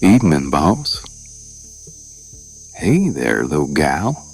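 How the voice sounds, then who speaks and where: Man doing a deep, slow, easygoing ranch hand. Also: huge pitch swings, slightly rough, soft background music, full character voice. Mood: easygoing, teasing. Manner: folksy, familiar, teasing. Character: drawling, teasing male ranch hand, easygoing underling. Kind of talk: ASMR romance roleplay.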